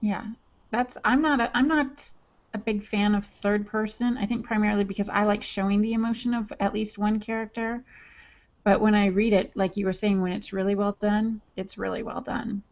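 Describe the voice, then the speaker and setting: Mulher animada lendo comentários. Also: female voice, medium pitch, steady tone, moderate pace. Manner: upbeat, reads comments aloud. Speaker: podcast co-host reading chat comments. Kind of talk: casual podcast chat. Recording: dull lo-fi audio.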